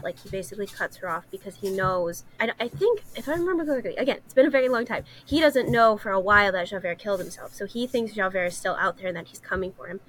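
A woman's voice: mid-range voice, fairly bright tone, rough recording. A woman talking casually and earnestly as a podcast panelist, explaining things in conversation. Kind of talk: casual discussion. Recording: noticeable background noise.

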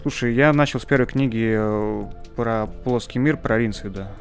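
A man's voice: medium pitch, moderate pace, soft background music. A man hosting a podcast, chatting casually about books and often searching for his words. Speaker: podcast host. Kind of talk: casual book chat.

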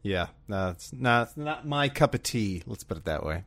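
A man's voice: fairly low pitch, animated, moderate pace, a bit bright. A man hosting a podcast with informal, jokey, casual banter about video games. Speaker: podcast host.

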